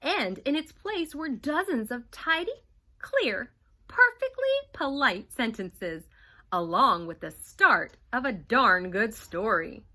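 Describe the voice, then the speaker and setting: A bright, expressive woman reads a storybook aloud at an unhurried pace, her pitch swinging widely as she brings the tale to life. She talks like a teacher with her young class, pausing to ask simple questions. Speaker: first grade teacher. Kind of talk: reading a picture book aloud to young children.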